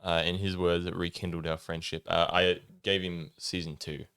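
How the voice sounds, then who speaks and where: A young man co-hosting a podcast, talking in a relaxed, rambling way with lots of ums and laughs. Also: deep voice, moderate pace, clear, resonant tone, dry recording, studio quality. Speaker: podcast co-host. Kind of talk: casual chat. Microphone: studio mic.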